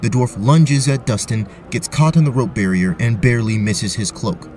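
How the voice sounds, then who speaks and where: A man's voice: medium pitch, normal speed, over music. A man narrating a scripted fantasy story as the game master of an audio drama.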